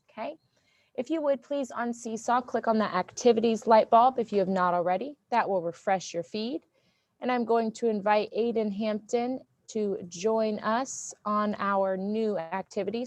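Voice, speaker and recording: A woman teacher giving a lesson to her class, loud and goofy, often checking in with "okay?". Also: medium pitch, no room sound.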